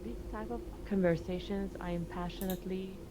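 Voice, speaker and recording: A woman from Iraq whose first language is Arabic, a student explaining ideas earnestly in halting English. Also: mid-range voice, steady tone, a crowd in the background. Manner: earnest, slightly halting non-native English. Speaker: student, participant.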